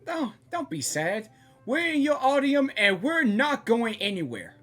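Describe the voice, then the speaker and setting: A woman with a rather high, husky voice reacts off the cuff to a game. Her pitch moves around a lot, and she talks haltingly and sympathetically, repeating words as she goes. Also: very animated, a bit bright, soft background music. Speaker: gaming reaction video creator. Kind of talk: casual spontaneous reaction commentary.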